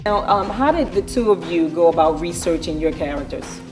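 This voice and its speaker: A woman's voice: lowish voice, animated, fairly quick, distant mic, soft background music. A middle-aged American woman hosting a casual interview in a chatty, affirming way. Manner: chatty, frequent affirming murmurs. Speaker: interviewer and show host.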